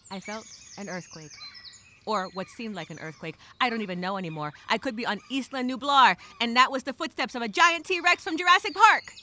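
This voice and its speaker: A woman's voice: mid-range voice, sharp tone. A woman playing a frightened, exasperated castaway, talking through a journal entry in a theatrical, emphatic, rambling dramatic monologue. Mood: weary, scared, then frustrated, despairing. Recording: clear background noise with birdsong.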